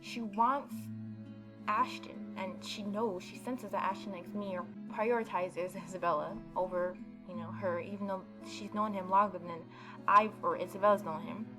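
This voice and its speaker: A woman's voice: mid-range voice, steady tone, moderate pace, rough recording. A woman hosting a gaming video, reading a visual novel aloud and adding informal, opinionated commentary. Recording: background game music behind voice.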